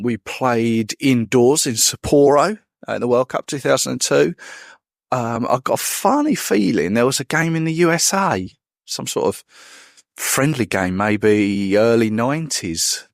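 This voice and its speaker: A man's voice: medium pitch, vocal fry, no echo, studio quality. A man hosting a podcast, giving rambling on-the-spot commentary from a football stadium, with many ums and restarts. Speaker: podcast host reporting from a match. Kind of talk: on-the-spot match-day commentary. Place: at a football stadium, outdoors and in the stands.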